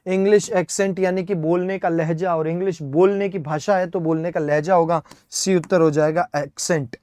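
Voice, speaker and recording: A man's voice: high voice, fairly even intonation, moderate pace, a little mellow. Indian man teaching English vocabulary. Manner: explains step by step, spells words letter by letter, keeps asking "है ना?". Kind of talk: exam-prep vocabulary lesson.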